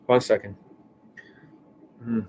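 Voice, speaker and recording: A man hosting a live stream as a dating coach. He rants bluntly and swears often, and his voice is worn and hoarse from overuse, with coughs and throat clearing. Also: takes it slowly, mellow, slight background noise. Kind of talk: livestream monologue. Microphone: desk microphone.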